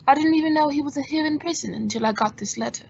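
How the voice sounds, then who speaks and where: A woman playing a suave gentleman detective in an old-time radio drama, cool and dryly witty. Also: high-pitched, fast, vocal fry. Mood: cool, wry. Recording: muffled audio with faint background noise.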